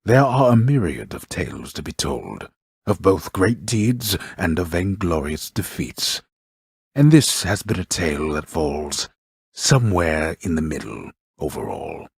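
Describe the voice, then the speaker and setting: An omniscient storyteller of Greek myth for a video game, with an extremely low, heavily rasped voice. He narrates in a grand, deliberate, old-fashioned manner, grave on the surface and drily mocking underneath. The sound is close and dry. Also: very animated, no echo, full character voice. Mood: wry, grave. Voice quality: gravelly, husky. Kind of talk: theatrical scripted narration with archaic wording.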